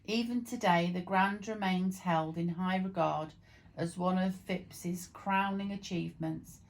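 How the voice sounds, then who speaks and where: A woman's voice: fairly low pitch, fairly even intonation, a little slow, rough recording. A woman reading printed text aloud in an informal, amateur storytelling way, now and then tripping over words. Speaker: vlog co-presenter reading aloud.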